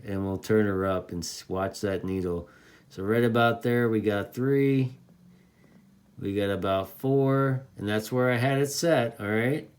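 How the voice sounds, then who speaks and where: A man's voice: fairly low pitch, a little mellow, smooth. A man giving a casual, enthusiastic product demonstration in an informal, folksy way, like a reviewer talking to hobbyists. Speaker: radio reviewer.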